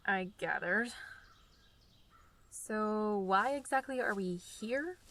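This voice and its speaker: A woman plays a practical young sidekick in a radio drama. Her mid-pitched voice is matter-of-fact and dry, with a slightly prim edge. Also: slow, a bit bright. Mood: dry, deadpan.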